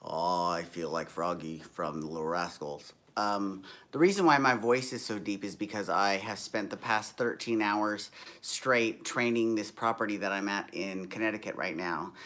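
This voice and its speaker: A man from the United States hosting a casual recap vlog from a hotel room, his voice deep and tired from a long day on stage, talking in a sassy, rambling way with many asides. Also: moderate pace, fairly bright tone. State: tired, deepened voice. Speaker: show host.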